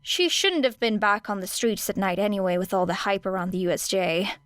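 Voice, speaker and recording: A woman's voice: medium pitch, brisk, fairly bright tone, clear, resonant tone, no echo. A woman reading scripted story narration as an audiobook narrator.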